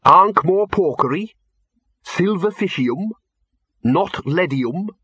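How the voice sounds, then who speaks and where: A man's voice: high-pitched, lively intonation, takes it slowly, bright, forceful tone, husky, dry recording, highs cut off, voice pushed up, full character voice. A man voicing an inquisitive, sociable friend of an alchemist, curious and questioning, for an audiobook.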